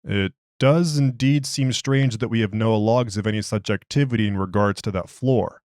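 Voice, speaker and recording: A man's voice: lowish voice, normal speed, a little mellow, no room sound, studio quality, lightly acted. A man voicing a skeptical research doctor who questions a prisoner in formal, clipped, dismissive speech. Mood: measured, puzzled. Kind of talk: dramatized interview log.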